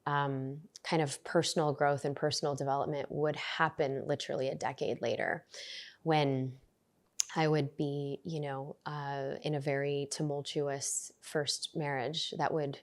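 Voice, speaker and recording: Low, reflective woman guest in a podcast interview, earnest, restarts often. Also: little pitch movement, unhurried. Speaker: podcast guest, resilience coach. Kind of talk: conversational interview.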